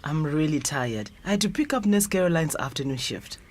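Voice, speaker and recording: Troubled mother, South African accent, lowish lively voice, earnest, radio drama, background ambience. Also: fairly quick, a little hiss or hum. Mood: weary. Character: troubled, tired, traditional middle-aged mother.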